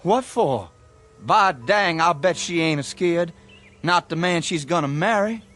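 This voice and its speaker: A man's voice: on the high side, expressive, measured pace, a bit bright, a little hiss or hum. An actor playing a plain-spoken, indignant young country man in a radio drama, with a folksy rural American accent. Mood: hurt, coaxing. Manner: folksy, incredulous.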